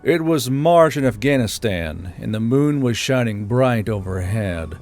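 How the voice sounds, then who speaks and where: A man's voice: mid-range voice, expressive, a little slow, a little mellow, soft background music. A man reading scripted military fiction as an audiobook narrator.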